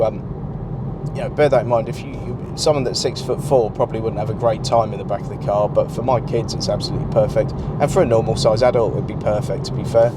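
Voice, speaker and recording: A British man in his forties, croaky and nasal with a cold, chatting wryly about his car while driving, on a lapel mic. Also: mid-range voice. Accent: British English. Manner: chatty, wry, grumbling about small gripes. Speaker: car reviewer. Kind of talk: casual car review vlog. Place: driving a car on suburban roads. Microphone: clip-on lapel mic. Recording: loud car noise in background.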